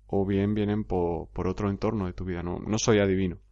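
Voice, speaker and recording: A man's voice: low-pitched, steady tone, brisk, warm tone, dry recording, lo-fi sound. A man hosting a podcast, answering a listener bluntly and emphatically, often repeating his points. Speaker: podcast host and coach. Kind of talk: podcast commentary.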